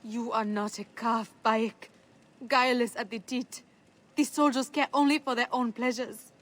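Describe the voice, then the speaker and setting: A woman's voice: on the high side, fairly even intonation, unhurried, fairly bright tone. A woman acting a proud, devoted ancient Egyptian wife in a video game, speaking with earnest emotion. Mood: bitter, earnest.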